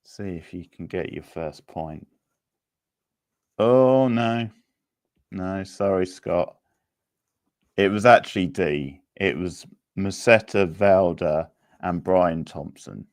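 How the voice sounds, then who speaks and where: A man's voice: low-pitched, slow, long pauses, no room sound, clean studio sound. A man hosting a casual quiz, chatting and answering participants as he reads out questions. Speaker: quiz host.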